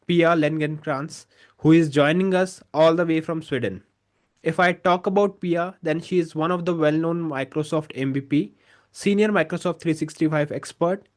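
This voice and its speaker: A man hosting an interview podcast in a polite, formal way. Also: a little high. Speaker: podcast host.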